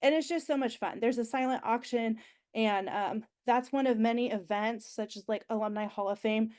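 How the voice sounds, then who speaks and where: A young woman with a slightly higher voice talks through an orientation presentation, chatty and enthusiastic. Her voice sounds nasal as she gets over an illness, and she fills gaps with ums and likes. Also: moderate pace, a little mellow. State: recovering from being sick. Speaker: university alumni relations staff presenter.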